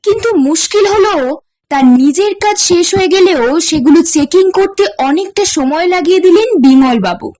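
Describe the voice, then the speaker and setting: A woman telling a ghost story as a scripted narration, in a measured storytelling manner. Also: extremely high pitch, expressive, a little mellow.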